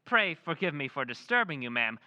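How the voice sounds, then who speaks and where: A man's voice: on the high side, sing-song, a little fast, bright, forceful tone, dull audio, slight background noise, exaggerated voice. A man playing a polite, formal, foreign-born stranger in an old-time radio drama. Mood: courteous. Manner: courteous, formal, smooth.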